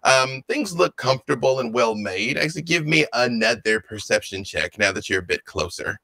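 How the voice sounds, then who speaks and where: A man's voice: medium pitch, sing-song, moderate pace, bright, forceful tone, dry recording. A man running a tabletop game as game master, describing scenes with frequent fillers.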